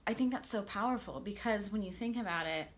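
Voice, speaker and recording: A woman's voice: medium pitch, a little fast. A woman life coach speaking as an interview guest, earnest and enthusiastic, sometimes stumbling over how she starts a thought. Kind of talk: conversational interview.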